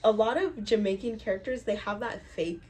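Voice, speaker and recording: A woman's voice: medium pitch, steady tone, a little fast, darkish tone. A woman hosting a vlog, giving a casual movie review in a very informal, conversational way. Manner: informal, peppered with "like".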